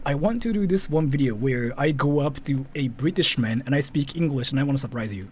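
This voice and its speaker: Lively japanese guy, native japanese speaker, rambling casual youtube commentary, voice swings up and down, some noise behind him. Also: mid-range voice, fairly quick. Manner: rambling, repeats himself, often checks with "right?".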